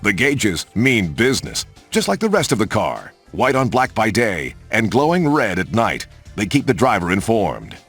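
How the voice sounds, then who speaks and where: A man's voice: fairly low pitch, huge pitch swings, normal speed, few pauses, fairly bright tone, husky. A man reading scripted promotional narration in a polished, emphatic announcer manner, as for car showcases in a racing game. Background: music.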